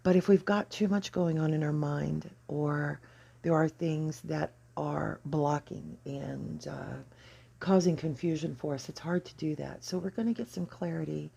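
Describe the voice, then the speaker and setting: Husky, mellow astrology channel host. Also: medium pitch, steady tone, moderate pace, highs cut off. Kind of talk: explanatory tarot and astrology reading.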